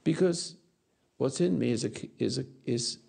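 A man in his eighties giving a rambling philosophical talk to an audience on a lapel mic, halting and repeating his words. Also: mid-range voice, gravelly, a little mellow, husky. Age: 80s. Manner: gestures with hands while talking. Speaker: speaker answering audience. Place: dark stage or studio.

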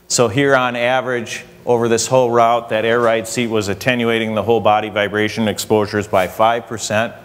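Young engineer guy explaining his study, walks around, lapel mic, echoey room. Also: mid-range voice. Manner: explanatory, walking around while talking. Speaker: engineer and researcher presenting. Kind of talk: conference presentation. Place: hotel conference room. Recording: reverberant conference hall.

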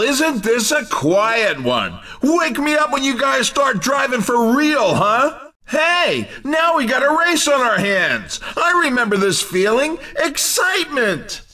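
A man's voice: high voice, bright, forceful tone, far from the mic, heavily acted. A man playing a brash, wisecracking race commentator in a video game, with an emphatic, teasing showman's delivery. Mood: sarcastic, mocking.

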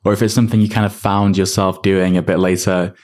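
A man in his thirties hosting an interview, asking friendly open questions into a boom mic at home. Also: little pitch movement, fast talker, a little mellow. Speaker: podcast host. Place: home room with plant. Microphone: studio mic on boom.

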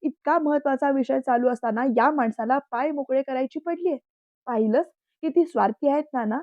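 A woman's voice: mid-range voice, a little slow, no room sound, lightly acted. A woman voicing a defiant, hot-tempered young man who speaks in heated, insistent words, in a dull, dry recording. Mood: angry.

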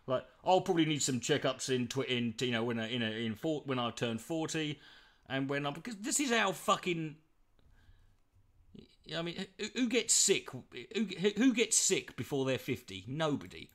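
A man's voice: on the high side, moderate pace. An Irish man rants through a reaction video with a raised, sing-song voice. He mocks and swears freely. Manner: mocking, swearing, animated. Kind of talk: profane sarcastic reaction commentary.

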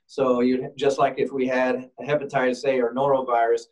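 A man's voice: mid-range voice, little pitch movement, moderate pace. A man on a video call explaining food safety cleaning and employee illness policies. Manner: practical, reassuring. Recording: distant from the mic.

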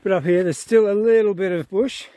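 A man, talking to his camera in a relaxed, awed way as he hikes an open alpine trail, filmed at arm's length. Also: high-pitched, a little fast, no echo. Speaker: hiker vlogger. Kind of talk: casual on-the-go vlog narration. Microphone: phone camera held at arm's length.

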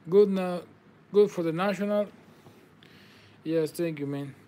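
A man's voice: high voice, measured pace, warm tone. Upbeat man calling out trading cards. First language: Spanish. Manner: upbeat, congratulating viewers, non-native phrasing. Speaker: card break host. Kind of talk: live card-breaking commentary. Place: indoor table with card boxes.

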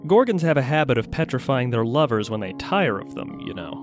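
Man playing a dry, wary mage, mid-pitched. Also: sing-song, a little fast, natural voice. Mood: exasperated. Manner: dry, understated. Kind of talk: audio drama acting. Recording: music under the voice.

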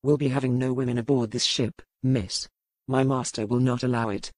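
A woman voicing a gruff, suspicious young male first mate in an audiobook, curt and stubborn. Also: extremely low pitch, fairly even intonation, moderate pace, darkish tone, dry recording, studio quality, pitched below the performer's own voice. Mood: gruff, refusing. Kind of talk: audiobook character dialogue.

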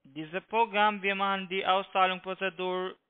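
A man with a foreign accent, not a native speaker, making a halting, repetitive phone pitch over a narrow tinny line. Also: flat delivery, clear, resonant tone. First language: not German. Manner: halting broken German, repetitive. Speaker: scam call-centre agent. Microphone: telephone.

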